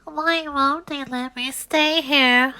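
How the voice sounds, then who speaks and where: A woman voicing a small, timid and sad young male duckling in a storybook reading, with a squeaky, plaintive voice. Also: a little slow, pushed and bright, heavily acted.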